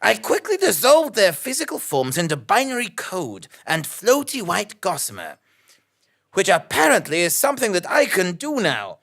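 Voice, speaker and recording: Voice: medium pitch, gravelly, bright, forceful tone, heavily acted. An actor playing a pompous, self-important investigative journalist, narrating a melodramatic comic audio drama in a theatrical, blustering way.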